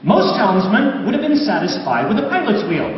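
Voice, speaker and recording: Male author-narrator, expressive and swooping read, dull noisy far-off hall recording. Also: high-pitched, moderate pace. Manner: animated, dramatic storytelling delivery. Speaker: author reading aloud. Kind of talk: live expressive audiobook-style narration of a story. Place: large reverberant room, recorded from a distance.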